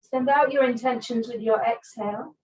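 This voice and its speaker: A woman teaching restorative yoga, giving calm, soothing guidance with long pauses between phrases. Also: a little high, low-quality recording. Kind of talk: guided restorative yoga instruction.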